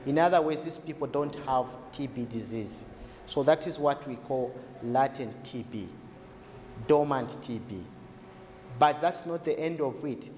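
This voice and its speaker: A man speaking as a health expert in a didactic, deliberate way, asking questions and answering them himself as he explains. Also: medium pitch, darkish tone. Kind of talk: explanatory interview talk for a documentary. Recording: echoey hall-like room, faint noise.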